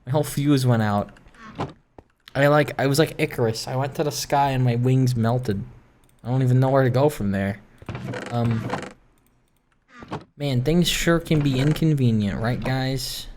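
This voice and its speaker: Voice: mid-range voice, a little mellow, a little hiss or hum. A gaming video host giving casual, rambling commentary in a self-deprecating, ranting way that wanders from one thought to the next.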